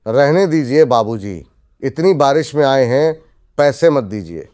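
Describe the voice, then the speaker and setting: A man's voice: medium pitch, lively intonation, measured pace, mellow, lightly acted. A man playing a humble, generous old village eatery owner in a cartoon story, tender and hospitable. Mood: welcoming. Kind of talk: Hindi cartoon moral story dialogue.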